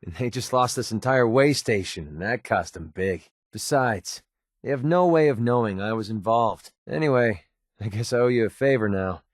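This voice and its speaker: Casting: male actor as young American wasteland gun-for-hire for a game, mid-pitched, sardonic and casual, very dry recording. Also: lively intonation, moderate pace, no room sound, close to an everyday voice. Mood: earnest. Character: wry young male mercenary sniper in a post-apocalyptic wasteland. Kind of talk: video game companion dialogue.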